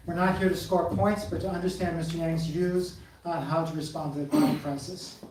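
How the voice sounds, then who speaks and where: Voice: mid-range voice, little pitch movement, fairly quick, darkish tone. A moderator hosting a live forum, giving instructions hesitantly with fillers and self-corrections. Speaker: event moderator. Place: large hall at a live forum. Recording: echoey hall, far from mic, audible room noise.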